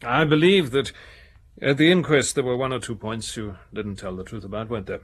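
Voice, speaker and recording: An actor playing a courteous upper-class English amateur detective, gently probing with questions, in a radio murder mystery. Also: male voice, medium pitch, a little fast, close to an everyday voice.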